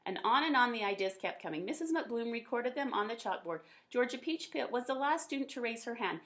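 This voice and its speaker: A woman with a fairly high voice reads a children's storybook aloud. She tells it in a folksy, expressive way, leaning on the colorful words. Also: brisk. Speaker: teacher reading a picture book aloud.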